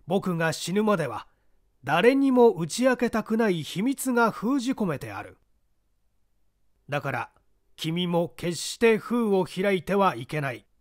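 Man playing a grave, insistent dying man, animated. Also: high voice, measured pace, no echo, studio quality, voice pushed up. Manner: urgent, solemn, insistent.

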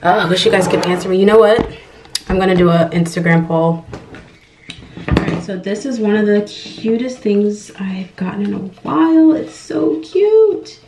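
A woman's voice: medium pitch, lively intonation, normal speed. A young American woman chatting casually for a vlog, thinking aloud in an informal way as she goes. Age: young adult. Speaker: lifestyle vlogger. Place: small tiled bathroom, indoors. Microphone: camera mic at arm's length or propped nearby. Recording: echoey bathroom, clear household clatter noise.

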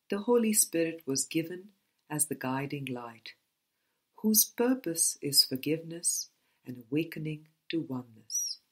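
Slow, low-voiced woman reading aloud. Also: darkish tone. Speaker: audiobook reader. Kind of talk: scripted spiritual book reading.

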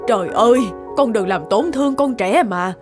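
A woman voicing a kind, protective elderly grandmother in a Vietnamese cartoon, gentle and soothing. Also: on the high side, animated, moderate pace, music in the background, lightly acted. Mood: worried.

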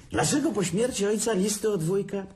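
An actor playing a sarcastic, bitter, tipsy divorced man who needles his brother haltingly in a radio drama. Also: high voice, lively intonation, a little mellow, a little hiss or hum. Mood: sly, provoking. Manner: sarcastic, taunting, chuckling, halting. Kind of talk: dramatic radio play acting.

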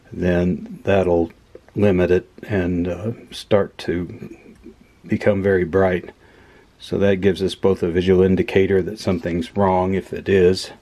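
Deep-voiced man, matter-of-fact. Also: a little mellow. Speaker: host of an electronics teardown video. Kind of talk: casual unscripted hands-on demonstration. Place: indoor workbench.

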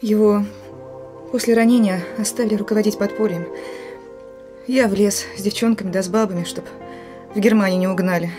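An actress in a radio play voicing a gruff but emotional woman commander of a wartime partisan detachment. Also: medium pitch, steady tone, brisk, long pauses, music in the background, lightly acted. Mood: moved, tender. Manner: commanding, urgent, broken phrases.

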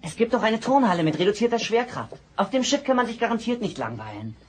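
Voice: mid-range voice, sing-song, quick pace, lightly acted. A young performer playing an eager, curious teenage boy in a science fiction radio drama, with an enthusiastic manner. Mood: eager, pleading. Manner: enthusiastic, pleading. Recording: noisy old cassette audio drama.

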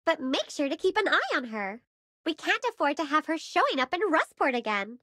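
A woman's voice: high-pitched, sing-song, brisk, pushed and bright, dry recording, heavily acted. A woman voicing a small, polite, sensible companion in a video game, with a cute, earnest manner.

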